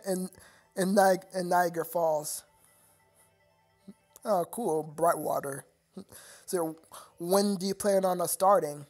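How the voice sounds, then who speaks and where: A man's voice: sits high, slow, long pauses, faint music behind. A young man chatting casually with viewers on his live stream, rambling and stammering with frequent laughter.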